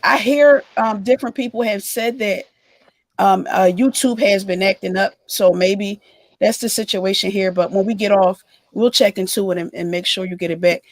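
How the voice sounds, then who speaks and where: A woman co-hosting a livestream who is hoarse and losing her voice, explaining her views in a measured way during casual gossip chat. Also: mid-range voice, fairly even intonation, no room sound. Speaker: livestream co-host.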